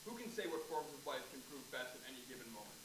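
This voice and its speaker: Man playing a brisk, stately exhibit droid. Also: medium pitch, very animated, natural voice. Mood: stately, factual. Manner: declarative, recited. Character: droid of famous British men reciting achievements, stately. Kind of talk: staged reading monologue. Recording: distant echoey room, clearly noisy.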